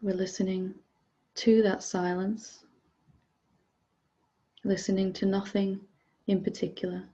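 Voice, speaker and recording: Woman, meditation teacher, calm and gentle, very level intonation, unhurried pauses, slightly muffled recording. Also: medium pitch, slightly rough. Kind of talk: guided meditation, softly instructive.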